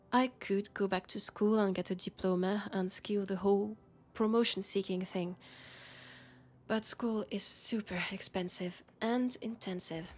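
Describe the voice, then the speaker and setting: A woman's voice: medium pitch, darkish tone, lightly acted. A woman with a French accent playing a worried, disillusioned policewoman in an intimate sci-fi roleplay, confiding in a hesitant way. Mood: resigned. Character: worried, disillusioned young policewoman in a dystopian city. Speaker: roleplay audio performer.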